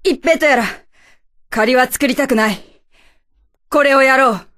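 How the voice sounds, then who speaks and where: Woman playing a brash, high-pitched samurai ant. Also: measured pace, fairly bright tone. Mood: gruff, proud. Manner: gruff, boastful archaic samurai speech. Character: brash, proud male samurai ant villain. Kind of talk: animated radio drama acting.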